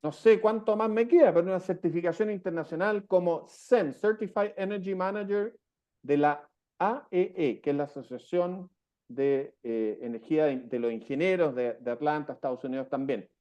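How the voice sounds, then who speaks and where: A chatty Chilean man hosts a radio interview, slipping his own family stories in between questions. His voice sits a bit high for a man, with a mellow, dark tone. The recording sounds dull and lo-fi. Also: moderate pace. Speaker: radio host.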